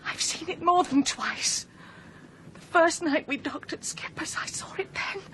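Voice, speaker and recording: An actress playing an anxious, haunted new wife in a radio drama, fearful yet defiant, speaking hesitantly and breathily. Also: female voice, extremely high pitch, measured pace, a bit bright, slight background noise. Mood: defensive, worried.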